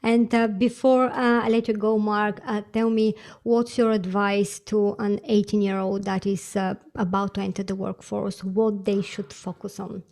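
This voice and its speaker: A woman's voice: medium pitch, flat delivery, normal speed, few pauses, darkish tone. A woman hosting a podcast interview, asking brief, polite questions with a few fillers. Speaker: podcast host.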